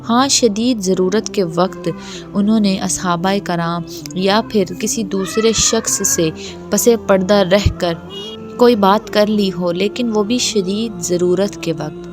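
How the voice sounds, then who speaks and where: A woman's voice: mid-range voice, unhurried. A woman giving a religious explainer talk in a didactic, admonishing manner. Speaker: religious lecturer. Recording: clear music behind the voice. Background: background music.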